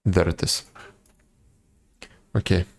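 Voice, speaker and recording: A man chatting casually as a live game streamer, musing aloud in a low-key, halting way.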